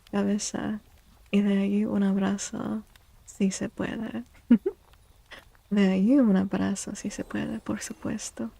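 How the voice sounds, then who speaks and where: A woman hosting a soft-spoken ASMR livestream, hushed and breathy, often slipping into whispers and gently repeating phrases. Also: mid-range voice, dry recording. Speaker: livestream host, tarot reader. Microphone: close microphone in a dry space.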